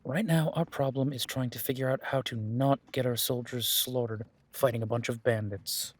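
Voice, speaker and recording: A man playing a weary, guarded werewolf duke in a fantasy audio drama, speaking in a measured, dry way with a husky voice. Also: mid-range voice, fairly even intonation, close to an everyday voice. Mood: resigned, cautioning.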